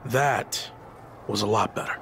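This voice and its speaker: A man's voice: lowish voice, unhurried, gravelly, a bit bright, a little hiss or hum. A man playing a determined underdog pro wrestler in a video game cutscene. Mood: dry, resolved.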